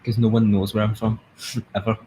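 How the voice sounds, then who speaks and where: A young man with a Glasgow-area Scottish accent, chatting excitedly and laughing as he comments on a live stream. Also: on the low side, normal speed, a little hiss or hum, low-quality recording. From Scotland.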